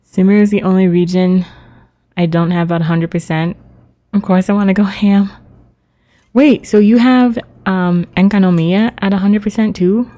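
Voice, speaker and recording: A woman's voice: medium pitch, steady tone, normal speed, dark tone. A woman livestreamer chatting casually with viewers as she plays a video game. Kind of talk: casual livestream chat with viewers while playing.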